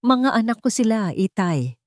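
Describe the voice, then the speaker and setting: A woman's voice: fairly high pitch, sing-song, measured pace, a little mellow, dry recording, clean studio sound, pitched above the performer's own voice. A woman voicing a determined, defiant billionaire son and father in a story, firm and insistent.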